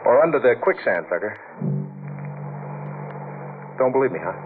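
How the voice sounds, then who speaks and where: An actor playing a cool, sardonic Coast Guard lieutenant in a 1940s radio thriller, with a dry, unhurried delivery. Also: male voice, mid-range voice, very animated, music in the background, lightly acted. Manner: dry, unhurried, hesitant pauses. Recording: tinny, hissy 1940s radio recording.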